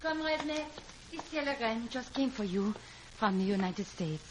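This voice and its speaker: Lovestruck young female comrade played by an actress, high voice, breathless and emotional, faintly noisy vintage radio drama. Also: expressive, moderate pace, lightly acted. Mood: eager. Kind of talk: 1950s radio drama acting.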